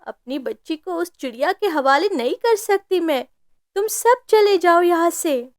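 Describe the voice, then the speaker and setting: A woman acts out a cartoon mother bird, devoted and protective. The voice is high and swings widely with emotion, emphatic and heartfelt, in a very dry recording. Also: lively intonation, measured pace, no room sound. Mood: indignant. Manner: tender, emphatic, indignant when her child is threatened. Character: loving, protective mother bird, adult female, fiercely devoted. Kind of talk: Hindi cartoon story voice acting.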